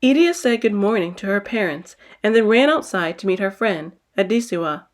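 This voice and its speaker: A woman's voice: mid-range voice, animated, normal speed. A woman narrating a children's picture book as a storytime host, reading expressively.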